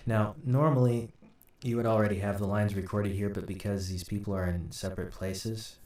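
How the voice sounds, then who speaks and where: A man giving an informal screen-recorded software tutorial, thinking aloud haltingly as he works. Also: on the low side, fairly even intonation. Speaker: host, audio drama sound designer. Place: indoor room at a computer. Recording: roomy, distant mic, light noise. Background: keyboard typing and mouse clicks, faint noise.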